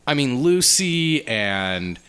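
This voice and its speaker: A man's voice: mid-range voice, big pitch swings, slow pace, fairly bright tone, clear, resonant tone. A man co-hosting a podcast, casually discussing pop culture in an opinionated, questioning way. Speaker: podcast co-host.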